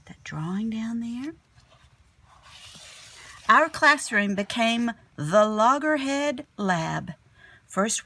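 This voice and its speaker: Slow, slightly husky woman reading a storybook. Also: medium pitch, no echo. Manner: animated storyteller, drops to hushed whispery tones. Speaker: second grade teacher reading aloud. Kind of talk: expressive children's picture book read-aloud with asides.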